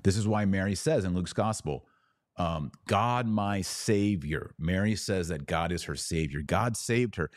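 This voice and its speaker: Male podcast teacher, deep register, very dry recording, didactic and emphatic, answering listeners with weighted key words. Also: measured pace, no echo. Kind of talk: live theological teaching and viewer Q&A.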